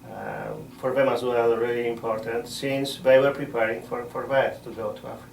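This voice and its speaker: A middle-aged man, a missionary priest answering interview questions in a measured way, often pausing to find words. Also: medium pitch, level tone, vocal fry, a little hiss or hum. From Poland. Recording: roomy sound from a distant mic.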